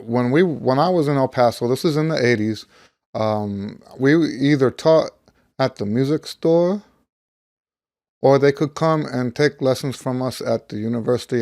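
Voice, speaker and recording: A middle-aged American man, slightly hoarse as he gets over losing his voice, talking casually and ramblingly like a teacher sharing experience. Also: mid-range voice, darkish tone, dry recording, clean studio sound. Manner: rambling, conversational, thinking aloud. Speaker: trumpet teacher. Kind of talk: casual talk to camera, personal anecdote.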